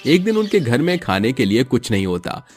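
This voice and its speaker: A man's voice: mid-range voice, sing-song, normal speed, a little mellow, soft background music. A man narrating a scripted moral story as a storyteller.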